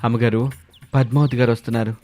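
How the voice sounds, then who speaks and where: A man's voice: mid-range voice, little pitch movement, fast, warm tone, soft background music, lightly acted. A man voicing a poor, humble but crafty village orphan who speaks deferentially and pleadingly in a moral story.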